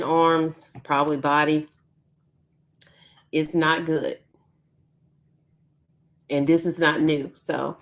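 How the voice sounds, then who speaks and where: A woman hosting a casual live news stream from home, chatty with frequent ums, who is losing her voice. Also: sits low. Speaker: YouTube news channel host.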